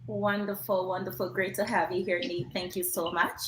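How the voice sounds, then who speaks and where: A woman's voice: mid-range voice, little pitch movement, moderate pace, a bit bright, roomy sound, slight background noise. A woman moderating an online panel, asking guests polite questions over a video call, with frequent fillers.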